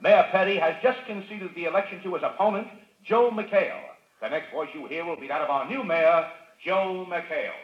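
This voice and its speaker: An actor playing a formal radio newsreader announcing in old-time style. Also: male voice, high voice, normal speed, close to an everyday voice. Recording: muffled, echoey radio with music.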